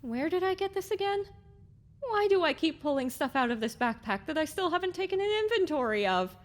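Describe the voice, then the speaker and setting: A woman's voice: high-pitched, brisk. A woman voicing a young pony heroine who is exasperated, curious and sarcastic, in an audiobook drama. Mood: bewildered, annoyed. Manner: animated, indignant, questioning. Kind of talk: audiobook drama character acting.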